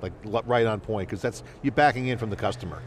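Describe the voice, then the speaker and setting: A man's voice: fairly low pitch, quick pace, a crowd in the background. A man in his fifties hosting a live conference interview, talking in run-on, enthusiastic patter on a headset mic. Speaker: host. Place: convention hall floor.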